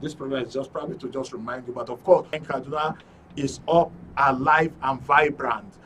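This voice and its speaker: A man with a Nigerian accent hosts a live studio talk show, announcing in a deliberate, explanatory way. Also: medium pitch, steady tone, fairly bright tone. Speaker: radio and TV show host. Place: radio and TV studio. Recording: distant microphone with faint background noise.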